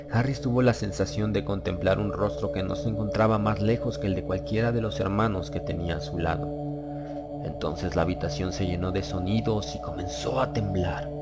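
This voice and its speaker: A man narrating a dramatized horror audiobook in a solemn, measured, dramatic way. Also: lowish voice. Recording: loud music behind the voice.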